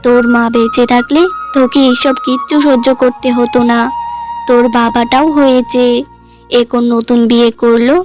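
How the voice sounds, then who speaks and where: A woman's voice: fairly high pitch, level tone, moderate pace, close to an everyday voice. A woman voicing a poor, frail old grandmother who scolds and worries over her granddaughter in a cartoon. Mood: lamenting. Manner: scolding, weary, protective. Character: poor frail old grandmother, loving, bitter at son-in-law. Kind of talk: cartoon voice acting. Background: music.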